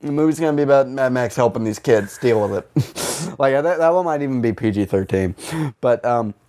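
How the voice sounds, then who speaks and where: A man's voice: mid-range voice, brisk. Rambling man hosting a casual podcast. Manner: rambling, profane, self-deprecating, animated when quoting. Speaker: podcast host. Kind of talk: casual comedic podcast chat.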